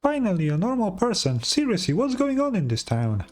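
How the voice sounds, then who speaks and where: Game casting: man as little female fairy sidekick, squeaky-high, excitable, talkative, quick to exclaim. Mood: alarmed. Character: small chatty female fairy companion, excitable and suspicious. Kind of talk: game dialogue read in character.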